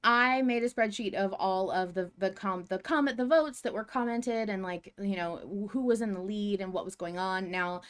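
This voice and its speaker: A woman's voice: mid-range voice, normal speed, few pauses, fairly bright tone, smooth. Woman, podcast co-host, casual chat, giggly, enthusiastic, mid-range voice.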